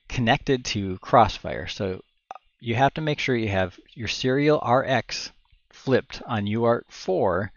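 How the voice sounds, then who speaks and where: A middle-aged man giving an informal step-by-step tech tutorial on a headset mic indoors, explaining haltingly as he thinks aloud. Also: mid-range voice, no echo, dull audio. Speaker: tutorial presenter. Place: indoor room at home. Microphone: headset boom microphone.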